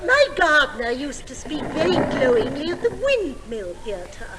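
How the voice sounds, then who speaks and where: A woman's voice: high voice, very animated, moderate pace. A woman playing a genteel, dithery older lady, polite and earnest, in a 1950s stage comedy sketch. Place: large theatre stage. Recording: very noisy 1950s theatre recording.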